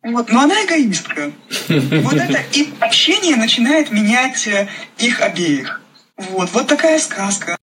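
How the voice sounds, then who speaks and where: A woman's voice: on the high side, sing-song, a little slow. Hesitant woman interviewee over faint noise. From Ukraine. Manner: hesitant, searching for words. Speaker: playwright being interviewed. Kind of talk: spontaneous interview talk.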